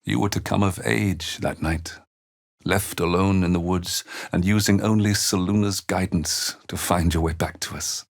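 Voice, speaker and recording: Low-voiced, weary, gentle older father. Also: steady tone, creaky. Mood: tender, reassuring. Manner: measured, earnest, formal phrasing. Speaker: voice actor in a video game. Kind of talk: dramatic fantasy game dialogue.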